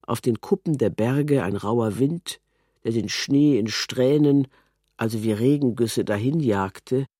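A man's voice: mid-range voice, a little slow, mellow, no echo, clean studio sound. A man narrating a scripted literary prose text.

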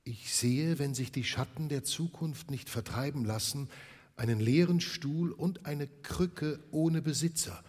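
A man voicing a stern male ghost, speaking gravely and with a rebuking force. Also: fairly high pitch, unhurried, a little mellow, long reverb tail, voice pushed up. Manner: grave, then thundering rebuke.

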